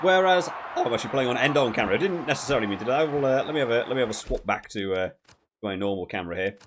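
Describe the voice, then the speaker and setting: A man's voice: medium pitch, animated, racing, background noise. A man hosting a video game review with casual, rambling, conversational commentary.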